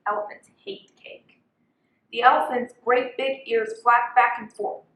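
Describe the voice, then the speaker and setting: A woman's voice: a little high, fairly even intonation, measured pace, fairly bright tone. A young woman reading a children's picture book aloud as a volunteer storyteller. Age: young adult. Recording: roomy, echoing sound.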